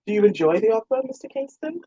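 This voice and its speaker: A woman's voice: on the high side, very animated, quick pace, dark tone, voice pushed up. A woman reading as a poised, wry young Regency lady with a formal, teasing manner. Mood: polite, nervous. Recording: roomy, dull lo-fi sound.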